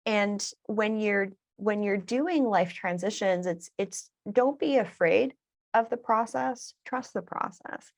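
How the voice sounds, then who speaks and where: A woman with a mid-range voice talks as a podcast guest. She speaks reflectively and often turns her stories into advice for the listener. She tends to slip soft laughs in between her points. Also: medium pitch, slow pace. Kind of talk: casual conversational interview.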